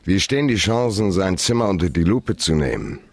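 A man's voice: low-pitched, big pitch swings, a little fast, full character voice. An actor playing a hard-boiled male private detective in a radio crime drama, speaking in a clipped, laconic, commanding way. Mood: terse, businesslike.

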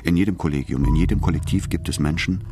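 An actor playing a solemn, didactic orator, reciting in a measured, declarative way for a radio drama. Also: male voice, deep voice, warm tone, lightly acted.

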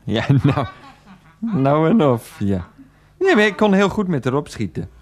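A man playing a grown son in a radio play, talking on the phone to his mother in a halting, protesting, placating way. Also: on the high side, big pitch swings. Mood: gossipy, defensive. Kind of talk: 1990s Dutch radio play, one-sided phone call.

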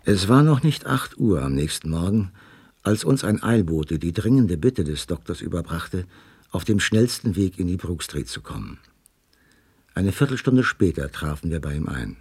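A low-voiced, sceptical detective's companion. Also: male voice, very animated, moderate pace, darkish tone, husky, no echo, heavily acted. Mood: narrating, sober. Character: detective's questioning, mildly sceptical companion and narrator. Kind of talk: German radio drama acting and narration.

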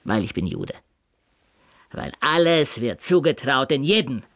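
Defensive middle-class tailor, animated pitch, dry close sound, terse folksy phrasing. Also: male voice, a little slow, no room sound, exaggerated voice. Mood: defensive, aggrieved. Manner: terse answers, inverted folksy word order. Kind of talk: German radio drama dialogue.

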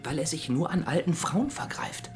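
A man's voice: on the high side, sing-song, moderate pace, soft background music. A sickly young man speaks as a deferential shop assistant in a radio drama. He is hesitant and very polite. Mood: timid, deferential. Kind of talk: radio play acting.

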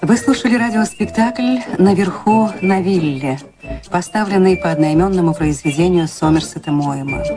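A woman's voice: lowish voice, normal speed, over loud music. A woman announcer reading scripted closing credits for a radio drama.

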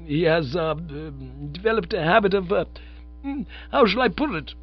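A man's voice: high-pitched, animated, breathy edge, soft background music, pitched above the performer's own voice, heavily acted. A man voicing a stern, imperious aunt. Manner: pompous, lofty, halting over delicate words. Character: imperious stern middle-aged aunt, female. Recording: slightly dull lo-fi recording.

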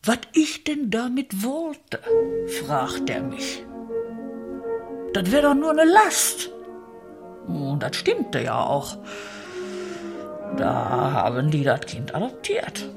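A woman's voice: medium pitch, huge pitch swings, slow pace, creaky, pauses often, full character voice. An actress playing a matter-of-fact woman telling her past colloquially in a radio drama. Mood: resigned. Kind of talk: literary radio drama acting. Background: music.

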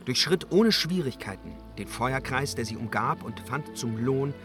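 A man's voice: medium pitch, lively intonation, moderate pace, a bit bright, soft background music, natural voice. An actor playing a proud, guileless young dragon-slaying hero telling of his deeds in a fantasy radio play. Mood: reminiscing, rapt. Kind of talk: radio drama storytelling.

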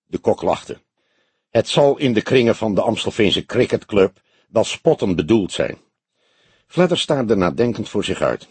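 A man's voice: fairly low pitch, big pitch swings, unhurried, a little mellow, slightly rough, no room sound. A man reading a detective novel as an audiobook narrator, in scripted storytelling style.

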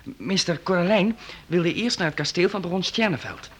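An actor playing an eager, warm-hearted young companion in a radio drama, recounting things earnestly. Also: male voice, high-pitched, lively intonation, normal speed, slight background noise. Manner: earnest, explanatory storytelling.

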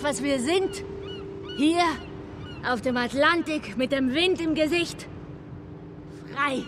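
An actor playing a drunken, defiant man in a German radio drama, declaiming coarsely with fervour. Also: very high-pitched. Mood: fervent. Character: drunken, defiant male actor raging against tyranny. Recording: noisy open-air ship deck ambience.

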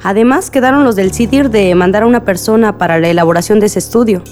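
A woman's voice: medium pitch, fast, a little mellow, faint music behind, lightly acted. A Mexican woman playing a principled, unyielding village mother in a radio soap opera, firm and formally polite. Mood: firm, indignant. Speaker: radio drama actor.

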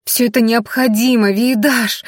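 A woman's voice: medium pitch, very slow, gravelly, husky, dry recording, studio quality, heavily acted. Woman acting a trembling, pleading young wife. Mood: desperate. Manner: stammering, imploring. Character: desperate, pleading young wife, tearful and frightened.

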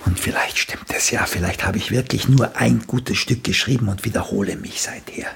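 A man's voice: lowish voice, normal speed, creaky, fairly bright tone, husky, whispered, heavily acted. An older male actor playing a blunt, vain, once celebrated playwright in a German radio play, opinionated and sardonic in manner. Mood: stubborn, cutting. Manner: opinionated, sardonic, tags questions with "gell".

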